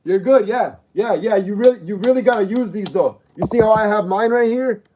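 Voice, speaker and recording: A man in his thirties hosting a casual livestream, reading out viewer comments and chatting in a relaxed, repetitive way with slang. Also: high voice, a little fast, noisy background. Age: 30s. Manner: chatty and repetitive, uses slang like bro and dog. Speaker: livestream host.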